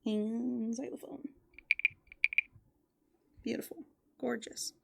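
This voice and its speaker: A soft-spoken woman doing rambling, playful ASMR as a raccoon, musing aloud and often slipping into whispers. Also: fairly high pitch, level tone, slow, gravelly, a little hiss or hum. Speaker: ASMR host. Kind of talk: furry roleplay ASMR, improvised rambling.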